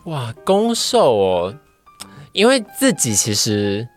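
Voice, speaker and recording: A woman's voice: very deep voice, wildly swooping pitch, slow pace, faint music behind. A woman with a Taiwanese Mandarin accent chatting casually as a podcast guest. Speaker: podcast guest, trainee voice actor. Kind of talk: casual chat.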